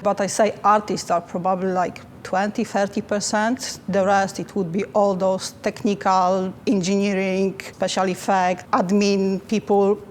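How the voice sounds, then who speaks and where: A woman with a Polish accent, a native Polish speaker, answering interview questions as a finance manager in careful, halting phrasing. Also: medium pitch, little pitch movement, a little hiss or hum.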